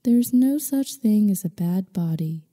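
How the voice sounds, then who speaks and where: A woman guiding a meditation in slow scripted narration, with a soothing, unhurried, gently instructing manner. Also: medium pitch, animated. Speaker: meditation guide. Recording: long reverberant hall-like echo.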